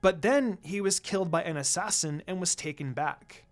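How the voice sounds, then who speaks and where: A reader voicing an excitable teenage girl teammate who is chatty and confiding. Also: mid-range voice, moderate pace, natural voice. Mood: eager, gossiping.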